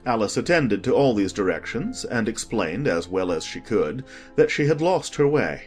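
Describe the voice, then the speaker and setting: A children's audiobook narrator reads scripted prose in a medium-pitched voice. The delivery is very lively and varied, with faint music underneath. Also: normal speed.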